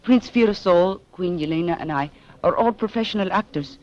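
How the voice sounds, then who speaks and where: An actress playing an alluring young foreign femme fatale in an old-time radio drama, with a foreign accent and careful broken English. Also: female voice, fairly low pitch, a little fast, a little mellow, lo-fi sound, lightly acted. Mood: explaining.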